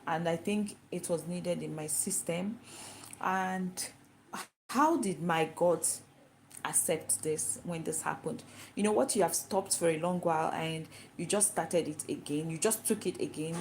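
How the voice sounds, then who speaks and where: Woman, Nigerian accent, Igbo native speaker, lowish voice, chatty, explains things, asks and answers her own questions. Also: a little slow, a little mellow, a little hiss or hum, rough recording. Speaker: vlogger. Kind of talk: casual talk to camera.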